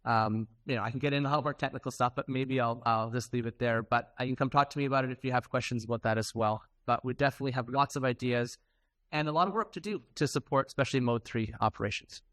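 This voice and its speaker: A man's voice: medium pitch, fast, fairly bright tone, no room sound. A man presenting at a technical conference in an informal, conversational way, with casual asides. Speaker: conference presenter, software developer.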